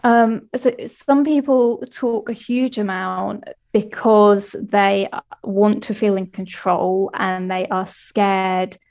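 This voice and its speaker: A woman coach talking calmly and in a measured way in a podcast conversation. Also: medium pitch. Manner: calm, measured, soothing. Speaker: ADHD coach.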